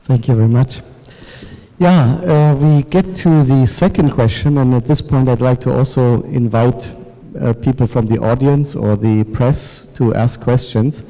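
A man chairs a panel discussion in a large hall. His voice is mid-pitched and very dark and soft-edged, and he talks in measured phrases with pauses and restarts. The room rings with reverb. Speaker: panel moderator.

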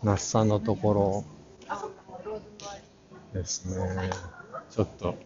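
A middle-aged man giving casual walk-through commentary for a vlog, halting and muttering asides to himself. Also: fairly low pitch, level tone, background noise. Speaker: art channel vlogger giving a walk-through. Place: large crowded indoor exhibition hall. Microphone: action camera at arm's length, face mask on. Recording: muffled audio in a busy crowded hall.

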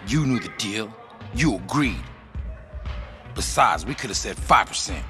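Tough, confrontational gangster enforcer. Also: male voice, on the low side, animated, a little slow, music in the background. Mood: irritated. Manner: curt, tough, confrontational, Spanish street slang. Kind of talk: video game cutscene acting.

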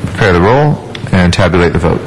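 A man's voice: low voice, background noise. Man chairing a council meeting. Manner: brisk procedural, relaxed with fillers. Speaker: council president. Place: large ornate council chamber. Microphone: desk microphone.

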